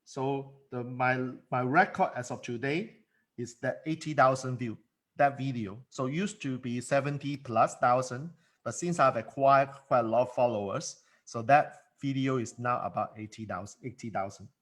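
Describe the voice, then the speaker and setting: A man's voice: medium pitch, normal speed. A man coaching in a solo monologue, emphatic and given to repeating words, speaking English as a second language. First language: not English. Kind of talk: motivational monologue.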